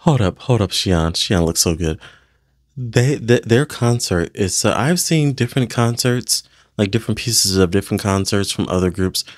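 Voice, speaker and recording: A man giving casual, gushing reaction commentary in slangy, excited language as he hosts a video. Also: on the low side, moderate pace.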